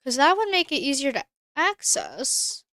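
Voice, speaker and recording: A woman's voice: high voice, huge pitch swings, measured pace, sharp tone, no echo, studio quality. A woman streamer chatting casually while she plays a game, thinking aloud and answering her chat. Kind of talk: casual live-stream gameplay commentary.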